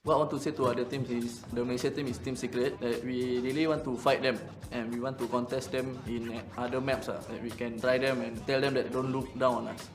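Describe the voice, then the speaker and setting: A man's voice: medium pitch, little pitch movement, a little fast, hardly any pauses, over music. A man in his twenties, a support player, speaking in a plain run-on way in a team interview. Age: 20s. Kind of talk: pre-recorded team interview.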